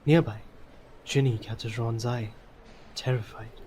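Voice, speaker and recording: A man's voice: medium pitch, steady tone, slow pace, dark tone, slight background noise, low-quality recording. A man reading scripted story narration for an audio drama.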